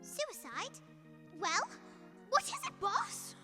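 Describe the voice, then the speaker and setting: A woman's voice: extremely high pitch, wildly swooping pitch, slow pace, bright, forceful tone, faint music behind, heavily acted. A young woman playing a naive, sweet, eager junior angel in a student radio drama. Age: young adult.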